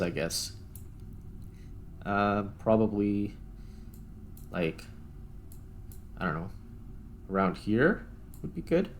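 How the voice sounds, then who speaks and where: A man's voice: on the low side, slight background noise. A man giving casual gameplay commentary, thinking aloud in a tentative, self-questioning way as he narrates each step. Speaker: gaming video host.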